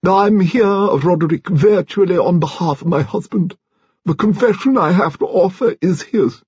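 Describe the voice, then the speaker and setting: A man voicing an imperious, frank, stout elderly English noblewoman, speaking in a grand, emphatic, deliberate way for an audiobook. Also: sits high, a little mellow, husky, dry recording, dull audio, voice pushed up. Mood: imperious, resolute.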